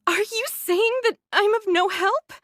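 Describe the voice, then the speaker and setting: Devout young female android, high-pitched, morose, withdrawn, touchy, very dry game recording. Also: a little slow, fairly bright tone, no echo. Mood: hurt. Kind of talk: dramatic game voice acting.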